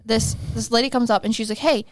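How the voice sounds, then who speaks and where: A woman co-hosting a podcast in casual chat, playful and quick to laugh, teasing her co-host. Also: on the high side, fairly even intonation, no echo.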